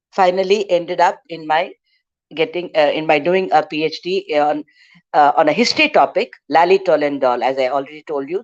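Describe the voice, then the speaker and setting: Middle aged lady teaching french over a video call, quizzes the class, lots of uhs, dull lo-fi sound. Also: fairly low pitch. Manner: quizzing, laughs often, hesitant fillers. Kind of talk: online lecture to students.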